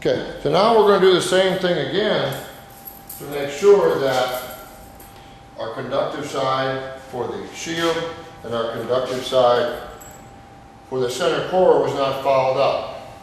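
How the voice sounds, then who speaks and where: A man's voice: medium pitch, unhurried, pauses often. A man giving a hands-on instructional demonstration as a technician, explaining step by step in plain-spoken language. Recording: very echoey, reverberant room with background noise.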